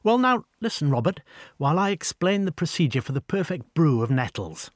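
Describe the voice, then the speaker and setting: A man voicing a tall, proud older woman with an upper-class English accent, brisk and wry in an audiobook. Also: a little high, big pitch swings, no room sound, clean studio sound, voice pushed up. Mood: commanding. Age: over forty. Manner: brisk, commanding, wry and talkative. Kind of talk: audiobook dialogue.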